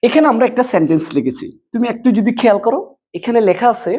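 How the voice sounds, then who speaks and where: A woman's voice: on the high side, lively intonation, quick pace, dark tone. A woman teaching students a grammar lesson, explaining step by step with repetition and questions she answers herself. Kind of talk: board lesson addressed to students.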